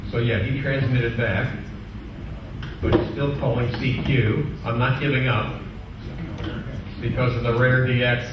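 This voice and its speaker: A man giving an informal technical presentation to a club, explaining a software demonstration step by step in a halting way. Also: medium pitch, little pitch movement, lo-fi sound. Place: indoor club meeting room. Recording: echoey room from far off, clearly noisy.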